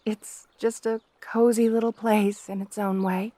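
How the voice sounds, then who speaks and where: A woman's voice: medium pitch, little pitch movement, a little slow, dark tone, dry recording, natural voice. A woman playing a shy, devoted young princess in a romantic ASMR roleplay, hesitant and deferential, often stammering. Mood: tender, hopeful.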